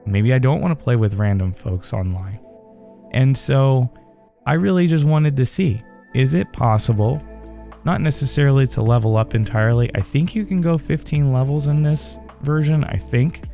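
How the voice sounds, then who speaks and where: Mellow-voiced man hosting a gaming vlog. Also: medium pitch, moderate pace, gravelly, faint music behind. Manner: relaxed, rambling, with fillers and restarts. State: recovering from a head cold, voice still strained. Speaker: gaming channel host. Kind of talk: casual gameplay commentary.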